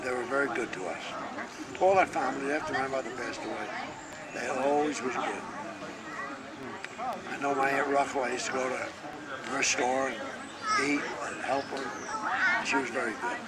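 An older man in his eighties or nineties, remembering his working life in a slow, halting way with many pauses, recorded outdoors at a picnic. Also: medium pitch, steady tone. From New Jersey, US. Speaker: interviewee. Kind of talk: casual reminiscing interview. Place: outdoor family picnic in a park. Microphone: camcorder mic a little distance off. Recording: busy outdoor crowd noise. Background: crowd at a picnic.

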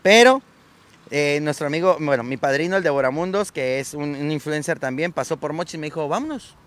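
A man's voice: fairly high pitch, a little fast. A man in his thirties, born in Colombia and raised in Mexico, a clown talking casually and slangily outdoors into a held microphone. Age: 30s. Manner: relaxed, slangy and chatty. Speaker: clown and guest. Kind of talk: casual chat. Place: seaside street outdoors in sunshine. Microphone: interviewer's handheld microphone.

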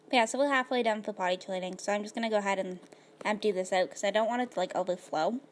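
A woman with a slightly hoarse voice, casually narrating her life-simulation gameplay at a computer, rambling with lots of fillers. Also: medium pitch, fast. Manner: rambling, filler-heavy, laid-back. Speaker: gaming video host.

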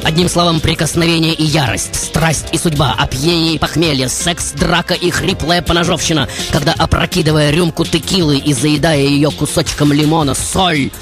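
A man playing a passionate, brooding composer who tells his life in a dramatic, poetic radio monologue with deliberate pauses. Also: fairly high pitch, steady tone, close to an everyday voice. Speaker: radio show host. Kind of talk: theatrical radio monologue over music. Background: music.

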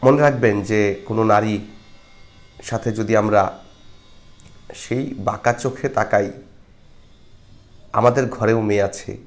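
A man's voice: fairly low pitch, slow pace, long pauses. Middle-aged male film reviewer, reflective and moralizing, to camera on a clip-on mic. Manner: reflective, moralizing, frequent hesitations. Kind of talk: casual film review monologue. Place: small indoor studio with patterned backdrop. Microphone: lapel mic.

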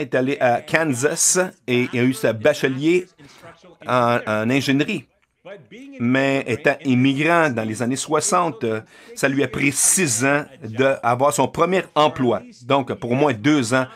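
A man's voice: medium pitch, a bit bright. A man giving a live spoken interpretation of a keynote over a video call, hesitant, with frequent filler sounds and restarts.

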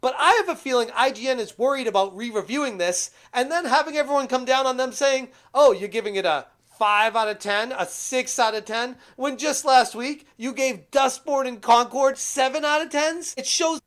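A man's voice: very high voice, normal speed, hardly any pauses, fairly bright tone. A man making an opinionated commentary video, speaking in an emphatic, complaining way. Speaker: YouTube commentator.

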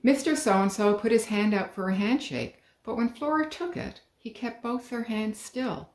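A middle-aged woman, an author, reading her children's book aloud at home in a calm, clear storytelling manner. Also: a little high, normal speed. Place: living room at home. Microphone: webcam, head and shoulders. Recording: roomy living room.

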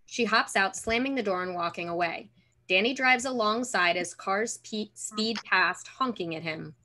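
Bright-voiced woman reading stage directions. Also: mid-range voice, moderate pace. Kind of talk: scripted narration at a table read. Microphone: video call.

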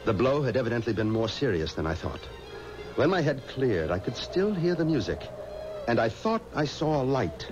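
Man acting a stubborn, dramatic, lively-pitched haunted gentleman. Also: close to an everyday voice. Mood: tense, haunted. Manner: dramatic, deliberate. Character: stubborn, pious man recounting a graveyard haunting. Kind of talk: 1970s radio drama acting. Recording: muffled old radio recording with music.

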